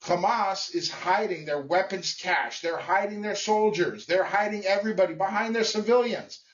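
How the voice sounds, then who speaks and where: A man giving a blunt, emphatic opinion monologue to camera, speaking in short phrases with pauses. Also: high voice, far from the mic, muffled recording. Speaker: vlogger.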